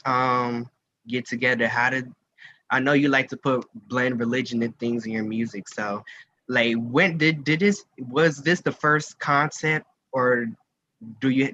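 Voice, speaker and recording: A man hosting a casual interview, asking questions in a halting way and often rephrasing himself. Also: mid-range voice, sharp tone, lo-fi sound, slight background noise. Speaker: interview host.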